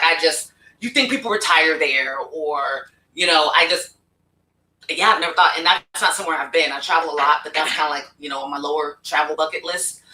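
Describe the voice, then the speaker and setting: Podcast host, woman, curious and chatty, bright tone, echoey room away from the mic. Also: low-pitched. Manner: curious, chatty, asks probing questions. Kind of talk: casual podcast interview. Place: home studio. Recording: roomy, distant from the mic.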